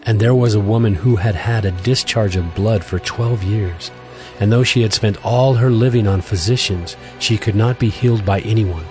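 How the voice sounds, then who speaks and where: A man narrating a dramatized scripture reading in a steady, even storytelling voice. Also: lowish voice, moderate pace. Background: music.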